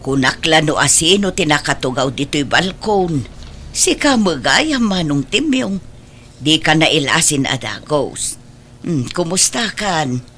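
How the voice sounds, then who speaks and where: A woman's voice: low-pitched, lively intonation, measured pace, fairly bright tone, faint music behind. A woman playing a gossipy, disapproving older village mother in an audio drama, with an Ilocano accent. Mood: gossipy disapproval.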